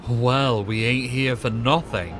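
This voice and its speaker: A man's voice: medium pitch, takes it slowly, fairly bright tone, slight background noise. A man playing a folksy, resourceful working man with a rebel streak in an audio drama. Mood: wry. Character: rough kindly rebel workman, defiant, resourceful.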